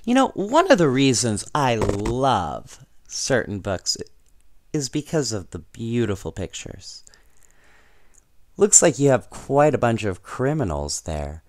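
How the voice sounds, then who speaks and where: A man reading a children's storybook aloud in an expressive, unhurried way, with casual asides. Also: medium pitch. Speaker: storybook reader.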